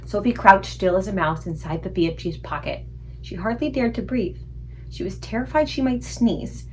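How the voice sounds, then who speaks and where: A woman reading a children's book aloud in an expressive storytelling style. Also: medium pitch, slight background noise, rough recording. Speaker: read-aloud book reader.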